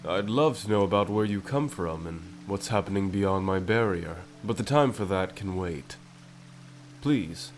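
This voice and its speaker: A man plays a courtly butterfly king, lord of his own fantasy world, in an ASMR roleplay. His voice sits fairly low and moves slowly, with formal, composed, regal phrasing. Faint music lies behind him. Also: close to an everyday voice. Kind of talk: ASMR story roleplay acting.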